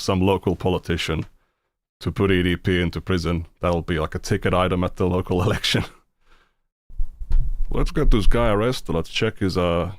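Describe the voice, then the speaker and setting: Low-voiced Finnish streamer, non-native English, blunt, halting, dry close sound. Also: male voice, dry recording. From Finland. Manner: rambling, halting with many fillers, blunt and profane. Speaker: streamer reacting to videos. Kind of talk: casual reaction commentary.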